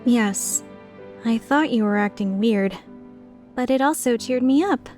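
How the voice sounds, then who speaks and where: A woman playing an earnest, timid, tender-hearted young woman in a dubbed visual novel, speaking in a measured way. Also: a little high, a little mellow, faint music behind, close to an everyday voice. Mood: sincere. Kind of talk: dubbed visual novel acting with inner narration.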